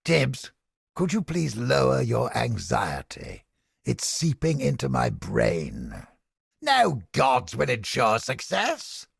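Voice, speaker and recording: A man playing a haughty, creepy mind-reading interrogator in a video game, with a pompous, florid, theatrical delivery and a raspy, gravelly voice. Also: mid-range voice, wildly swooping pitch, takes it slowly, no room sound, studio quality, full character voice. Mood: cold disdain. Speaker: game character. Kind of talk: video game character voice lines.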